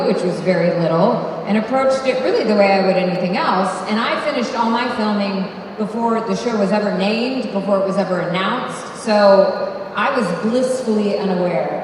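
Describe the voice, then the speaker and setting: Woman panelist, thoughtful and measured, echoey hall, far off. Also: medium pitch, darkish tone, slight background noise. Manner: thoughtful, measured, lightly self-deprecating. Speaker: panel guest actor. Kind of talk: candid panel storytelling.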